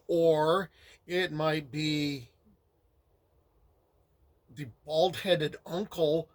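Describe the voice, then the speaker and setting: Slow, rambling older man. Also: on the high side, fairly bright tone. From United States. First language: Ukrainian. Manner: rambling and conversational, with asides. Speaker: history storyteller. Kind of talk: casual spoken history talk.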